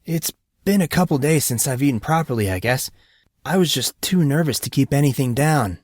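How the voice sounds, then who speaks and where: A man's voice: medium pitch, animated, normal speed, a little mellow, no echo, clean studio sound, voice pushed up, natural voice. A man voicing a sardonic teenage schoolboy with slangy talk in an audiobook. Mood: curious, tired. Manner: teasing, slangy. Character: groggy, sardonic teenage boy, new at school.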